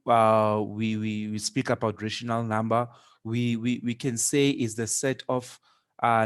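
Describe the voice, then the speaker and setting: A man teaching a maths lesson online, hesitant, with many fillers and restarts. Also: medium pitch.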